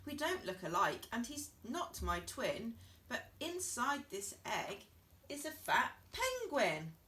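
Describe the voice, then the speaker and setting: A woman's voice: on the high side, sing-song, takes it slowly, sharp tone, echoey room, recorded from a distance, full character voice. A woman voicing a friendly, confident duck in a children's rhyming story.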